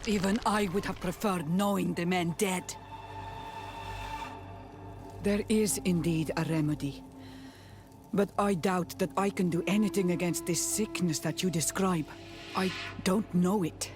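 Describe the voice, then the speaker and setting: An actress playing a proud, wary island healer in a game, speaking solemnly and formally with bitterness. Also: female voice, on the low side, steady tone, unhurried, long pauses, close to an everyday voice. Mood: wary, reluctant. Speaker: non-player character. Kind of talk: scripted game dialogue. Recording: game music behind the voice.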